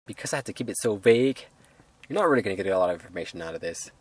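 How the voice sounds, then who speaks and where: Casual man giving a halting review, dry sound. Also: fairly low pitch, lively intonation, darkish tone, breathy edge, no echo. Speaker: film reviewer. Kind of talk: casual unscripted review to viewers.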